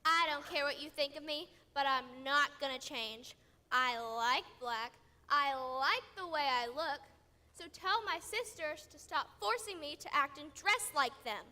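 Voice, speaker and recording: A woman's voice: high-pitched, a little slow, bright, forceful tone, exaggerated voice. A woman playing a defiant young misfit princess in a stage comedy, speaking emphatically. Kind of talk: stage comedy fairy-tale acting.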